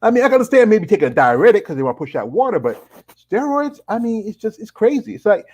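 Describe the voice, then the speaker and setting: Middle-aged male host, rambling, full of "you know" fillers, slightly higher voice. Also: very animated, fast talker, darkish tone, husky. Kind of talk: casual live-stream interview.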